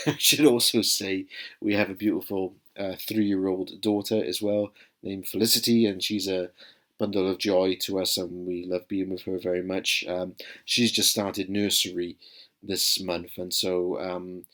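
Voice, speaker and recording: Welsh man vlogging, low voice, hesitant. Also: flat delivery, a little slow, a bit bright. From South Wales. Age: 30s. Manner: hesitant, many ums and uhs, friendly and earnest. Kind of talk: casual talking-to-camera vlog.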